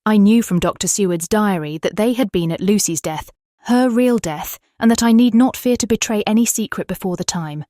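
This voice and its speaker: A woman with a British accent playing a tender young Victorian wife, reading her diary in an earnest, expressive, formal way for a dramatised audiobook. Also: medium pitch, moderate pace, no room sound, clean studio sound, close to an everyday voice.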